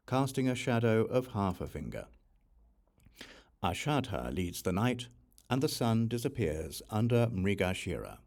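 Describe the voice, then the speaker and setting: A man narrating a Buddhist scripture audiobook in a measured, formal recitation. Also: lowish voice, a little mellow.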